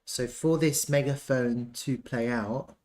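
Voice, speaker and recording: A man giving casual, off-the-cuff chart commentary as a trading analyst, thinking aloud haltingly and repeating himself. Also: medium pitch, fairly even intonation, darkish tone.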